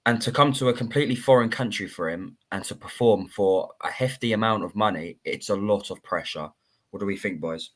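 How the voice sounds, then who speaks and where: Deep-ish male co-host, emphatic, repeats himself. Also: brisk. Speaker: podcast co-host. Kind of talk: casual football chat.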